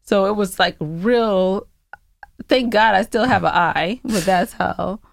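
A woman's voice: mid-range voice, slow pace, creaky, no room sound, clean studio sound. A woman co-hosting a podcast, telling stories in casual, playfully argumentative banter. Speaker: podcast co-host.

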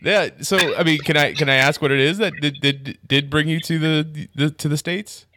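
A man's voice: fairly high pitch, fairly even intonation, pushed and bright, no echo, studio quality. A man interviewing a guest in a casual conversation, repeating words and restarting as he forms his questions. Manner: halting, repeats words while forming questions. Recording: noisy line with clicks.

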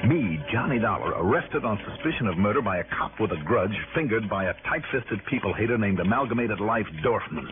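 An actor playing a sardonic, impatient insurance investigator with clipped, sarcastic delivery, in 1960s radio drama style. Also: male voice, lowish voice, animated, fairly quick, hardly any pauses, natural voice. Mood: wry narration. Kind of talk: 1960s radio drama acting and first-person narration. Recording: tinny old radio broadcast, music bed.

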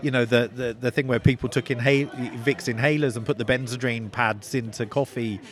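A man's voice: mid-range voice, fairly even intonation, fairly quick. A man with a cold and a nasal voice, a novelist guest talking enthusiastically and rambling about a film. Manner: enthusiastic, rambling, full of restarts. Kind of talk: casual film discussion.

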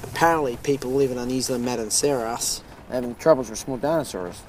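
An actor playing a brisk, worried field doctor in an audio drama. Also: male voice, mid-range voice, noisy background, natural voice. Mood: concerned, urgent.

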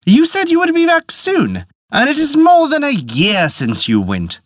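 A man voicing a clever, scheming young village woman in a high, persuasive voice. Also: wildly swooping pitch, a little slow, no room sound, clean studio sound, exaggerated voice. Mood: defiant.

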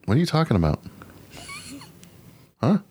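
A man co-hosting a podcast in casual chat, often halting and thinking aloud as he goes. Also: mid-range voice, sing-song, a little mellow, breathy edge. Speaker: podcast host.

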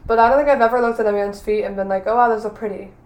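A young woman co-hosting a casual podcast, blunt and joking, and a little hoarse from losing her voice. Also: mid-range voice, steady tone, very fast. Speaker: podcast co-host. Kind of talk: casual chat. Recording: echoey room.

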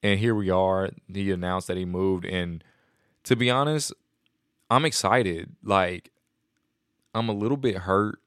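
A man hosting a casual solo podcast, excited and rambling, a little nasal and sniffly from a cold. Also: deep voice, unhurried, pauses often, clear, resonant tone, no room sound, studio quality. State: congested from a stomach bug. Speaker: podcast host.

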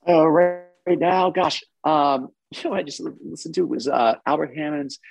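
A man from France talking casually as an interview guest, halting and thoughtful and often chuckling. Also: on the high side, steady tone, creaky, dry recording, soft background music. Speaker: interview guest, singer-songwriter and actor.

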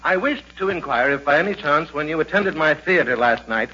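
A stuffy, over-refined theater manager in a 1940s radio comedy. He speaks in florid, formal phrases with a bright, fairly high voice. The recording is dull and old. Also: expressive, brisk, breathy edge, full character voice. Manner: pompous, florid, formal diction.

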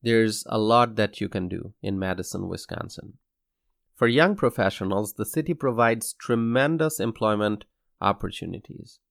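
A young man from Bangladesh hosting his own podcast, speaking in an earnest, measured scripted monologue. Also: on the low side, gravelly, no room sound, clean studio sound. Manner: measured, earnest, slow deliberate delivery. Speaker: podcast host.

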